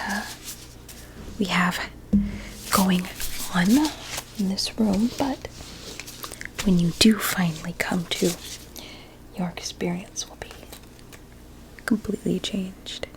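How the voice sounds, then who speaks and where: A woman playing a sweetly coaxing, sinister doctor in an ASMR roleplay, with a deliberate, soothing and formal manner. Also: medium pitch, slight background noise, natural voice. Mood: quietly coaxing. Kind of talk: whispered ASMR medical roleplay.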